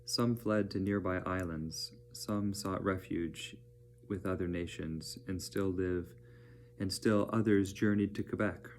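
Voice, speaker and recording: A man reading educational narration aloud as a teacher, in a measured, plain-spoken manner. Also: sits low, steady tone, a little hiss or hum.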